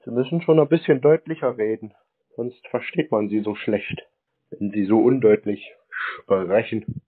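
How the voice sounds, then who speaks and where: Husky deadpan man on a phone line. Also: lowish voice, normal speed. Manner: deadpan, stalling, silly. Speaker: prank-calling scam baiter. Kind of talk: prank phone call. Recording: narrowband phone-like sound.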